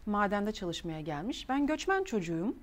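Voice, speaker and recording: A young woman from Limburg in Belgium, answering interview questions in a thoughtful, measured way. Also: mid-range voice, very animated. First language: Turkish heritage, grew up speaking several languages. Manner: thoughtful, measured, switches between languages. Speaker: interview guest.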